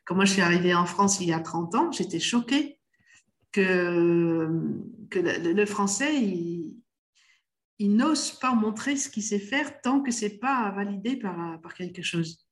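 A woman's voice: mid-range voice, animated, unhurried. A middle-aged woman from the Netherlands, by mother tongue, speaking French as a podcast guest, searching for words with many restarts. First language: Dutch. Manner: hesitant, many restarts and fillers. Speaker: guest, doula of the inner child. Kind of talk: casual podcast conversation.

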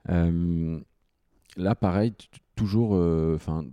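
A man hosting a podcast, reading a smooth scripted intro and then asking questions with hesitant fillers. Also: sits low, slow pace, dark tone, no room sound. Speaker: podcast host.

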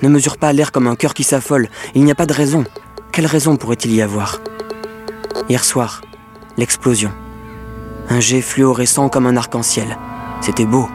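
An actor playing a troubled technician in an audio drama, speaking poetically in short, halting, urgent phrases. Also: male voice, mid-range voice, steady tone, darkish tone, soft background music, natural voice. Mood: troubled, pensive. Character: troubled masked male technician pleading with his measuring device. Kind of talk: French audio fiction monologue.

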